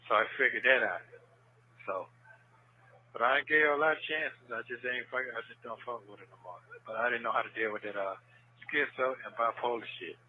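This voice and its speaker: A man's voice: medium pitch, a little fast. A man chatting casually on a recorded jail phone call, slangy and repeating himself. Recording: noisy, tinny recorded jail phone line.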